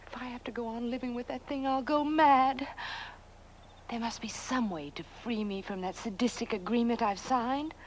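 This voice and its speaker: An actress playing an anxious, scheming aristocratic wife in a horror comedy film, theatrical and pleading. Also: female voice, on the high side, moderate pace, a little hiss or hum, natural voice. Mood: frightened, pleading.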